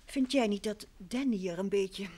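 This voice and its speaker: Woman acting genteel, curious wife, mid-pitched. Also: moderate pace, dark tone, close to an everyday voice. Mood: chatty, curious. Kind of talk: old Dutch radio drama acting.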